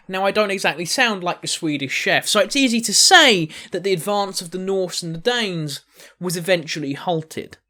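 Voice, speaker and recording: A man's voice: on the high side, a bit bright. A man hosting a history channel, narrating casually with jokey asides to the viewers.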